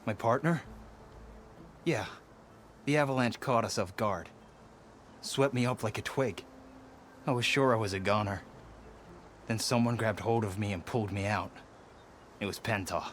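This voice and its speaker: An actor playing a shaken young soldier and climber in a video game, speaking plainly and earnestly. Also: male voice, lowish voice, moderate pace, long pauses, a bit bright, slight background noise, lightly acted. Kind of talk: video game character acting.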